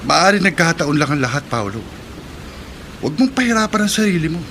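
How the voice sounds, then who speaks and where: Bright-voiced, reassuring Filipino fisherman. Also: fairly high pitch, big pitch swings, a little fast, slight background noise, heavily acted. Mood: insistent, pleading. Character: Tom, practical, reassuring fisherman and restaurant owner. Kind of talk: Filipino horror radio drama acting.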